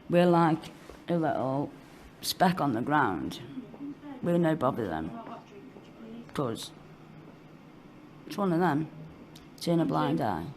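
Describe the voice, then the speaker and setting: A woman's voice: lowish voice, a little mellow, slight background noise. A woman giving candid, informal testimony in a blunt, colloquial way. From Newcastle-under-Lyme, England. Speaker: interviewee telling own story of homelessness.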